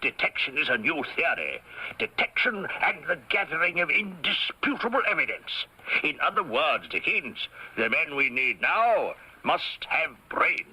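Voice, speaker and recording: An actor playing a brisk, confident Victorian Scotland Yard inspector in an old-time radio drama, speaking in formal, emphatic phrases. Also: male voice, on the high side, very animated, bright, forceful tone, slightly rough, full character voice, low-quality recording. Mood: confident, persuasive.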